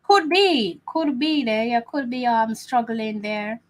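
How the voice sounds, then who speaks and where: A woman's voice: a little high, lively intonation, slow pace. A woman hosting a live watch-along stream, chatting casually and often repeating her phrases with many fillers. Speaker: host.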